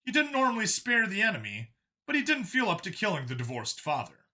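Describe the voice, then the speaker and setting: Bright, animated male story narrator. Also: sits high, fairly quick. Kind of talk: dramatic scripted narration of science fiction.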